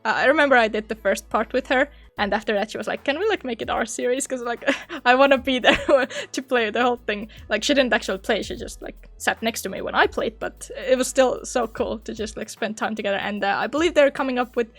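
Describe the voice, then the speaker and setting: A young woman who makes gaming videos, chatting casually and giggling often, her voice a little hoarse from long talking. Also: a little high, fairly quick, fairly bright tone. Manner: chatty, giggly, laughs often between phrases. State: slightly hoarse, losing her voice. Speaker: gaming YouTuber. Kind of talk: casual reflective vlog chat.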